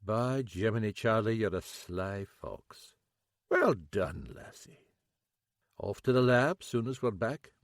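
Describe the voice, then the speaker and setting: A man's voice: fairly low pitch, very animated, slow, dry recording, full character voice. A man voicing a shrewd, dry-witted Scottish police chief with a Scottish accent. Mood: teasing. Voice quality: wry, commanding.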